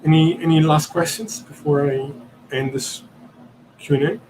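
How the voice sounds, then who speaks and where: A man's voice: on the high side, steady tone, moderate pace, a little mellow, slight background noise, low-quality recording. Hesitant Italian-born man thinking aloud. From Italy. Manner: hesitant, searching for words, many ums and restarts. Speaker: podcast host answering questions.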